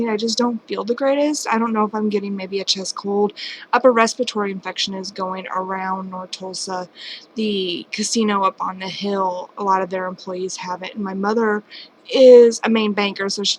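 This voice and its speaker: A woman's voice: mid-range voice, a little hiss or hum, low-quality recording. A woman in her late twenties, talking casually and candidly to her camera at home, rambling and self-deprecating. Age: late 20s. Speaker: vlogger. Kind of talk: casual personal vlog. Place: home at night.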